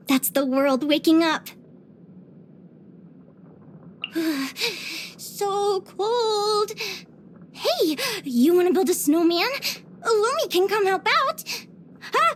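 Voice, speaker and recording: High-voiced bubbly young adventurer girl. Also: slow, slight background noise, exaggerated voice. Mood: wistful, playful. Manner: expressive, chirpy, with sighs and giggles. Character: bubbly young female adventurer and naturalist, curious and sweet. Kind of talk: scripted video game character voice lines.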